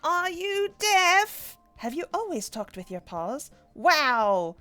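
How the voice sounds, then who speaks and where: Casting: woman acts chatty animal youngster for comic reading, high and very animated, bluntly inquisitive. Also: takes it slowly, soft background music, exaggerated voice. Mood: excited curious. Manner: blunt, eager questioning.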